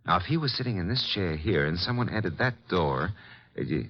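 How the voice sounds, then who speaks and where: Muffled old radio drama with a streetwise private eye. He has a low, deep voice and talks in casual, clipped phrases, watchful and thinking aloud. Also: lively intonation, a little fast, breathy edge. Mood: puzzling it out. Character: streetwise, watchful male private detective's legman. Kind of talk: old-time radio detective drama acting. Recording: dull, lo-fi old radio recording.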